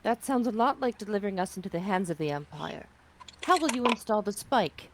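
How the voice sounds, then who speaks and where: A woman's voice: mid-range voice, expressive, brisk, background noise, lightly acted. A woman reading as a firm young rebel captain. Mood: distrustful. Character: skeptical young female alien rebel captain. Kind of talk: sci-fi audio drama table read.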